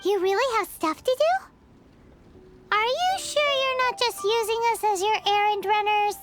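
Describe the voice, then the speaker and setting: Squeaky, tiny fairy girl sidekick. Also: a little slow. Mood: inquisitive. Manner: childlike, squeaky, refers to self by name. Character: tiny floating fairy girl companion, cheeky and excitable. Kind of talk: video game voice acting. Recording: loud game music behind.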